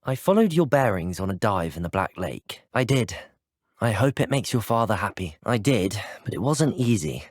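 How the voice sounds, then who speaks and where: A man's voice: on the low side, big pitch swings, normal speed, dry recording, clean studio sound. A young man with a British accent playing a polite, curious, brave teenage student wizard in a video game. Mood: polite, dry. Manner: polite, well-spoken, formal. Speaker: video game player character. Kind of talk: scripted video game dialogue.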